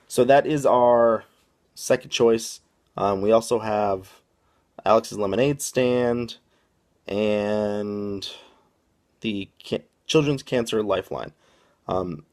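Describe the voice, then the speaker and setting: A man from the Boston area hosts a casual podcast with a fairly low, gravelly voice. He rambles as he goes and fills his pauses with plenty of "um"s. There is a rough fry at the bottom of his voice. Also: darkish tone. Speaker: podcast host.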